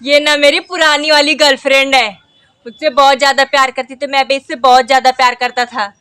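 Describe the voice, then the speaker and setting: A fickle village boyfriend boasts about old love and dodges with lame excuses, as a woman puts him on. The voice is high and bright. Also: level tone, fairly quick, no echo. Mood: boastful.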